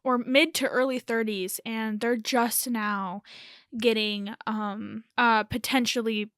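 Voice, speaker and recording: A young woman in her early twenties speaks in a slightly high, hoarse and raspy voice, still getting over a cold. She rambles and corrects herself as she chats alone on her podcast. The sound is dry and close. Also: fairly even intonation, slow, a bit bright, dry recording. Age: early 20s. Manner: rambling, self-correcting, laughs nervously. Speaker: podcast host. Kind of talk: casual solo chat.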